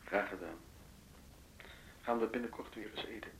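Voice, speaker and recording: An actor playing a measured, worldly former official giving advice in a radio play. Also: male voice, lowish voice, vocal fry, a little hiss or hum, rough recording. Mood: calm, advisory. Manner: deliberate, advisory. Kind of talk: Dutch radio play acting.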